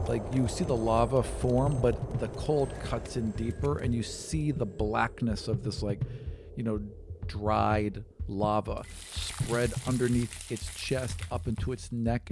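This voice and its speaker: A man's voice: medium pitch, fairly even intonation, unhurried. Man narrating a tabletop game over noise. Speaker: game master.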